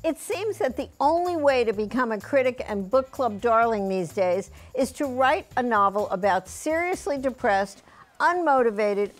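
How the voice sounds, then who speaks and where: A woman delivering a scripted book review in a blunt, wry manner. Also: on the high side.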